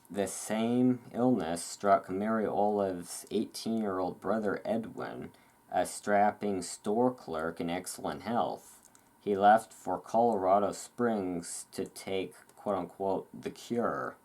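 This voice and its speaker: Low-pitched ASMR narrator. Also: a little mellow. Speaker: host reading aloud. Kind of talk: ASMR reading of historical article.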